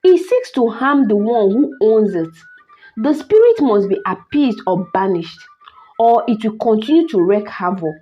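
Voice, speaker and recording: A woman's voice: a little high, sing-song, a little slow, lo-fi sound, faint music behind. A Nigerian woman voicing a grave elderly village healer in a folktale, solemn and warning.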